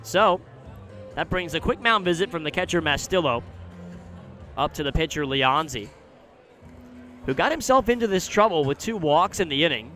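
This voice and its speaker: Bright, forceful American baseball announcer, male. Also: fairly high pitch, soft background music. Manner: measured description, excited on big plays. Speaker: baseball radio play-by-play announcer. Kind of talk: live sports commentary. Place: outdoor ballpark broadcast position.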